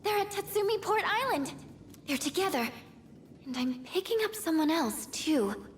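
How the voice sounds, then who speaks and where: A woman's voice: extremely high pitch, animated, moderate pace, a little hiss or hum. An actress playing an earnest, anxious teenage girl navigator in a video game, speaking with urgency. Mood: urgent, alarmed. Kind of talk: English video game voice acting. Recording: music under the voice.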